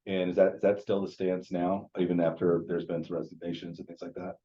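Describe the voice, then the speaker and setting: A man's voice: sits low, flat delivery, fairly quick, dark tone, lo-fi sound. A man hosting a casual interview, chatty and hedging with many filler words. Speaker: show host. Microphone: video or phone call.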